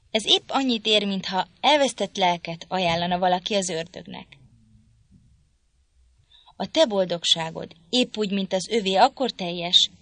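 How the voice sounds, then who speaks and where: A woman's voice: mid-range voice, a bit bright, no echo. A woman reading the part of a proud, hot-tempered young lady who speaks sharply and indignantly.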